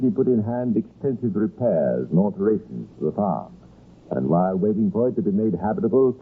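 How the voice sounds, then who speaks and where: An actor with a British accent playing a wry, ominous narrator of a true-crime tale in an old radio drama, telling it theatrically. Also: male voice, lowish voice, husky. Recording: old radio recording, narrow band, noisy.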